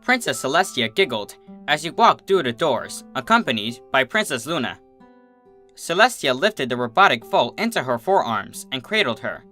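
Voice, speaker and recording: A woman reading fan fiction aloud as expressive scripted story narration. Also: extremely low pitch, normal speed. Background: soft guitar music.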